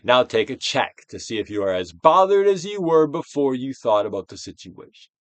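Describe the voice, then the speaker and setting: A man giving a dramatic, theatrical reading of a self-help book, moving between hushed near-whispers and emphatic projection, with deliberate pauses. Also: medium pitch, big pitch swings, dry recording. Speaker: audiobook reader, actor.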